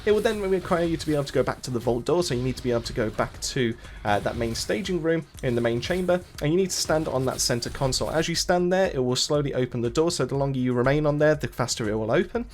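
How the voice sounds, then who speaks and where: A man's voice: mid-range voice, animated, fast, few pauses, faint music behind. A man hosting a gaming channel, narrating a casual walkthrough in a wordy way, often rephrasing himself as he explains.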